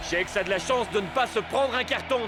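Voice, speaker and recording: Casting: male actor as keen cartoon football commentator, extremely high-pitched, dramatic announcing, loud music underneath. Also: fairly quick, close to an everyday voice. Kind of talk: sports commentary in a dubbed cartoon.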